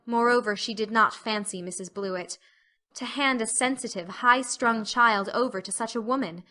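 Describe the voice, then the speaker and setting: A woman reads scripted audiobook narration. Her voice is fairly high with a bright, forward tone, telling the story as narrator. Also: normal speed.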